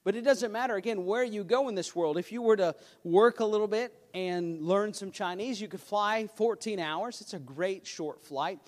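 A man's voice: high voice, normal speed, few pauses. A man preaching a sermon as pastor, earnest and emphatic, with a self-deprecating touch. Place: church sanctuary. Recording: long hall reverb.

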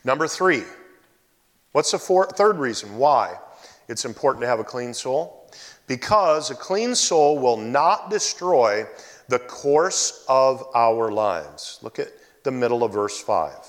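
A man preaching a sermon, telling a personal story in an animated way and bursting into loud exclamations. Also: mid-range voice, slow pace. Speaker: pastor. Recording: hall reverb.

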